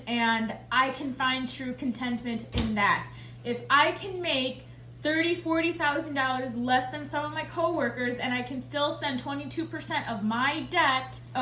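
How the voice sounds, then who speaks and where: Woman early 30s, loud high voice, rambly chatty, echoey little apartment w dishwasher noise behind. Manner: rambling, chatty, apologetic, lots of "like". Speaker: budgeting YouTuber. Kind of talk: casual chat. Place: small apartment, dishwasher running. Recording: echoey room with appliance noise. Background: dishwasher running.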